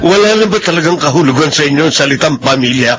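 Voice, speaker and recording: A man's voice: a little high, lively intonation, fast talker, loud music behind the voice. An actor playing an earnest, wounded middle-aged father in a Filipino radio drama, weighty and melodramatic, with a Filipino accent. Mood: hurt, reproachful.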